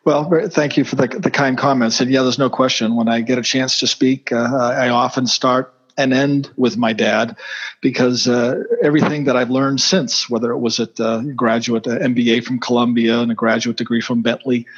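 Boston guy chatting on a podcast, husky voice, keeps restarting with lots of uhs, kinda dull lo-fi sound. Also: mid-range voice, steady tone. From Boston, USA. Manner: halting, many fillers and restarts. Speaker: guest. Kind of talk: casual interview talk.

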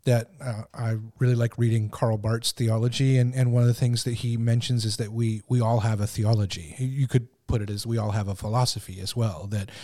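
A man's voice: mid-range voice, fairly even intonation, fairly quick, hardly any pauses, mellow. A man speaking as an interviewer in casual, reflective conversation, searching for words and often repeating phrases as he goes.

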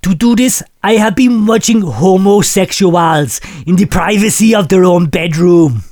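A man's voice: high voice, measured pace, a little mellow. A man hosting a comedy podcast, reading out listener letters in a mock-solemn, preacherly delivery that keeps breaking into laughter.

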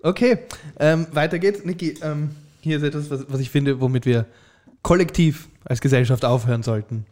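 A man's voice: fairly high pitch, darkish tone. A young man with an Austrian accent, co-hosting a casual podcast chat, often stumbling over his words and breaking into laughter. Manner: halting, restarts sentences, laughs often. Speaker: podcast co-host.

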